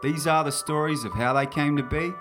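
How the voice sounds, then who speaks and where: A slow, measured scripted podcast intro read over music. The voice sits at a middle pitch, and clear music plays under it throughout.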